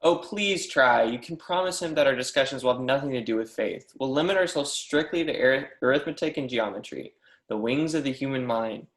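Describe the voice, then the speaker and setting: A man's voice: medium pitch, brisk, a bit bright, roomy sound, lightly acted. A man reading the part of an eager, persistent young mathematics professor, earnest and declamatory, in an amateur readers theatre. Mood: pleading, fervent.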